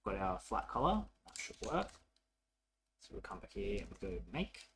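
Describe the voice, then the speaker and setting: A man presenting a programming tutorial in a casual, unscripted way, haltingly thinking aloud as he works. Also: fairly low pitch, big pitch swings, noisy background. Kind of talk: casual coding walkthrough, unscripted.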